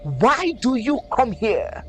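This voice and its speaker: A woman's voice: fairly high pitch, sing-song, takes it slowly, faint music behind, voice pushed up. A woman voicing a stern, ancient ancestral spirit in a storybook tale, solemn and challenging.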